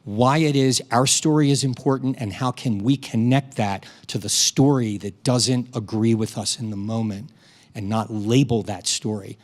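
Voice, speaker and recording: A man who teaches speaks at a town hall with a mid-pitched voice. He talks in a measured, storytelling way and often restarts his phrases as he works toward a point. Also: a little mellow.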